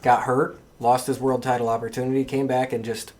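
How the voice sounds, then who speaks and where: Young man, podcast host, informal chat, medium-pitched voice. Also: little pitch movement, brisk, a little mellow. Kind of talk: casual chat about pro wrestling.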